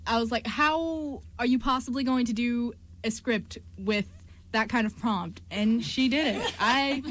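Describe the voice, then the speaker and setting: A woman's voice: fairly high pitch, a little slow, a bit bright. A woman chatting casually in a group as a member of an amateur radio show.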